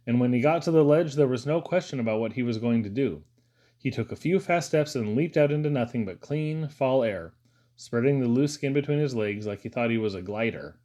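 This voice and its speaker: A man's voice: medium pitch, a little mellow, lo-fi sound. Man narrating a storybook. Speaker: audiobook reader. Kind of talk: first-person storybook narration.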